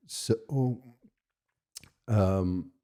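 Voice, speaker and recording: Low, warm-toned American man talking recovery. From United States. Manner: thoughtful and halting, many restarts and "right?" tags. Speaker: podcast guest, rabbi. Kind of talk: candid conversational storytelling.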